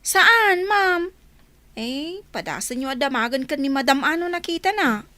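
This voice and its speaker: Squeaky, flustered Filipino housemaid. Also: female voice, expressive, measured pace, sharp tone, no echo, exaggerated voice. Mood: flustered, evasive. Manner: hesitant, stammering. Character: flustered, evasive young housemaid. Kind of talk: Filipino radio comedy drama acting.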